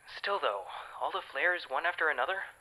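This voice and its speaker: Man acting an eager, very bright-voiced male astronomer. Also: fairly high pitch, normal speed, full character voice, rough recording. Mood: intrigued. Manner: excitable, insistent. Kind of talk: modern audio drama acting.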